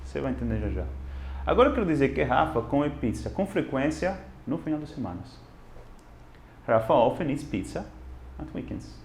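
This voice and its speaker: Guy teaching english, super lively, gravelly voice, echoey room. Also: mid-range voice, moderate pace, slight background noise. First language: non-Portuguese. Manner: animated and expressive, switching between Portuguese and English. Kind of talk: casual video lesson.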